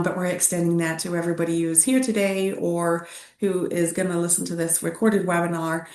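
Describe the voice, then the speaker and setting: Woman travel host, upbeat, laughs often, echoey, distant mic. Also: on the low side. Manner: upbeat, laughing, frequent affirming murmurs. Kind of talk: casual webinar chat.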